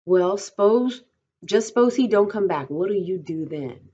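Woman acting lonely, bitter stable hand, husky. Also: mid-range voice, animated, a little slow, warm tone, muffled recording, pitched above the performer's own voice. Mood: taunting. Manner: rustic dialect, pressing and brooding.

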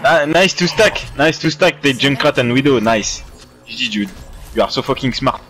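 A man's voice: medium pitch, expressive, moderate pace. A man in his game team's voice chat, trading crude jokes and repeating his lines for effect. His voice sits mid-range, with English as a second language, and game music plays faintly behind him. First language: not English. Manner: joking, swearing, repeats himself. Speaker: gamer on team voice chat. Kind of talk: casual trash talk.

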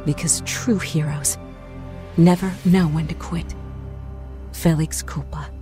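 A woman playing a solemn survivor of a doomed spaceship, delivering a measured, grave sci-fi monologue. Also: sits low, steady tone, mellow, soft background music, pitched below the performer's own voice. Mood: solemn resolve.

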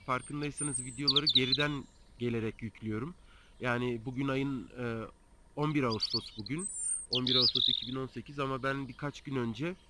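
A man in his thirties speaks casually into a phone held at arm's length, high on a mountain. He talks in a relaxed way, with frequent hesitation sounds. Heavy outdoor noise and birdsong fill the recording. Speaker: fishing vlogger. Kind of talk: casual talk to camera. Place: outdoors on a mountainside above the clouds.

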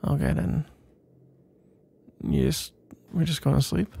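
A man playing a shy, sleepy, smitten young best friend in an intimate ASMR roleplay, stammering and awkward, with a gravelly, breathy voice. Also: fairly high pitch, huge pitch swings, darkish tone, full character voice. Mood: flustered, embarrassed. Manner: halting, stammering, awkward, mumbling.

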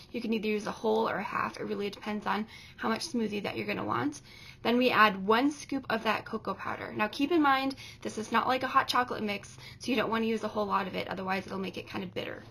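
A woman's voice: fairly high pitch, quick pace, gravelly, a bit bright, slightly rough. A woman in her thirties giving plain, step-by-step instructions in a casual kitchen demonstration, filmed in a home kitchen with a camera on the counter. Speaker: co-host. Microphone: camera at counter distance.

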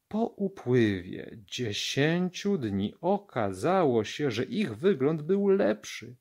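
A man narrates scripture for children in a fairly low voice. He reads at a very unhurried pace, enunciating each phrase deliberately. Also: a little mellow. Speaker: Bible story reader.

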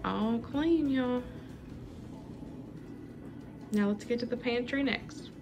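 A woman's voice: fairly high pitch, measured pace, music in the background. A woman, chatting casually through her home cooking and cleaning routine. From Southern United States. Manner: chatty, homey, relaxed explaining. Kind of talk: casual voice-over narration.

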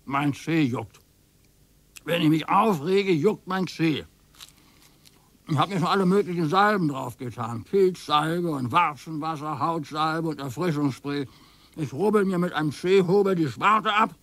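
An actor playing a stubborn retired husband over sixty in a radio play, with deadpan complaining. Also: male voice, on the high side, unhurried, dry recording. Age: older. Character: grumpy retired husband, older man, dry and stubborn.

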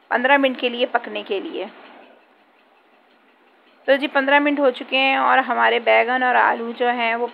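A woman's voice: a little high, gravelly, slight background noise. A woman hosting a home cooking tutorial, chatting step by step through a recipe in her kitchen and leaning on filler phrases as she goes. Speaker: cooking channel host. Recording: dull lo-fi home recording.

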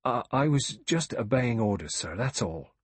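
A man's voice: mid-range voice, sing-song, normal speed, a little mellow, slightly rough, no room sound, pitched above the performer's own voice. A man voicing an earnest, flustered butler in an audiobook, servile and defensive. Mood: earnest, deferential. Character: flustered, earnest, deferential male butler protesting innocence. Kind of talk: audiobook character voice.

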